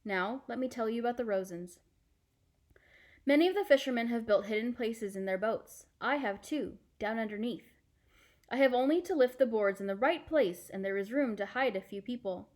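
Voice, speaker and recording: A woman playing a calm, reassuring fisherman uncle in a storybook, with a measured, explanatory manner. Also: on the high side, close to an everyday voice.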